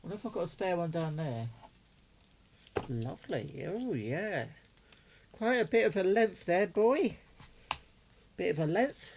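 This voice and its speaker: A man's voice: high-pitched, expressive, unhurried, pauses often, darkish tone, slight background noise. An enthusiastic, chatty man, giving casual unboxing commentary as a hobbyist video host.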